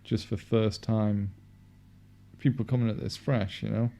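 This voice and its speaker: Guy with a deep gravelly voice, mellow and dark, just chatting about a movie, laughs a lot. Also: fairly even intonation, unhurried. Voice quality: fairly deep voice. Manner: chatty, self-deprecating, laughs often. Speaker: podcast co-host. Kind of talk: casual film commentary chat.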